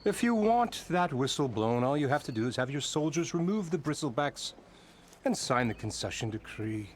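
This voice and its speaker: An actor playing a pompous, blustering middle-aged labor boss who talks in grand, flattering, evasive turns in a video game. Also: male voice, medium pitch, moderate pace, a little hiss or hum, lightly acted.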